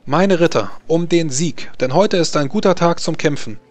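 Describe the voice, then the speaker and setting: A man's voice: a little high, normal speed, voice pushed up, natural voice. A man voicing a majestic, proud empress in a tabletop fantasy game, giving solemn and rousing oratory. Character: majestic proud empress addressing her knights.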